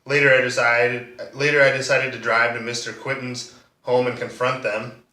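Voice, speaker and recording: A man playing a soft-spoken, bitter defendant giving measured, restrained testimony in a courtroom monologue. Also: medium pitch, little pitch movement, close to an everyday voice. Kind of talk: monologue performance of a courtroom drama. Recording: roomy echo, distant microphone.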